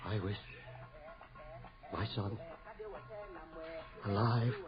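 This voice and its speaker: A man's voice: on the low side, steady tone, drawn-out words, music in the background. A man playing a fearful, protective husband and grieving father, theatrical and earnest, in an old-time radio horror drama. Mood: solemn dread. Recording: muffled, noisy old radio recording.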